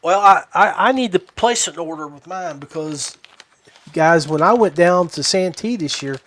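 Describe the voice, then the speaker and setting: A man's voice: a little high, expressive, moderate pace, fairly bright tone, no echo. A man hosting a podcast in casual chat, with a folksy way of addressing his listeners. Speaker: podcast host. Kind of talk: casual chat about fishing gear.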